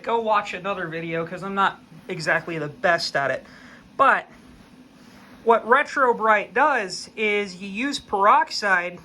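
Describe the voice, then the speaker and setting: A man's voice: high voice, bright, forceful tone. An American man giving a casual, unscripted explanation as a hobbyist video host, in a folksy, plain-spoken way.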